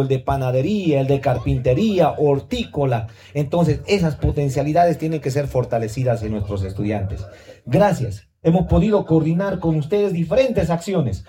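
Earnest man giving a mellow public speech. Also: on the high side. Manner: earnest, pleading, repeats phrases with tag questions. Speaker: school director giving a speech. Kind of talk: formal public speech at a community event.

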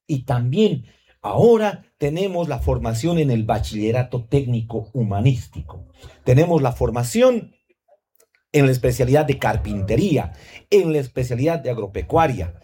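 Male school director, formal event speech, earnest and pleading, mellow tone. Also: mid-range voice, lively intonation, moderate pace. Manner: earnest, pleading, repeats phrases with tag questions.

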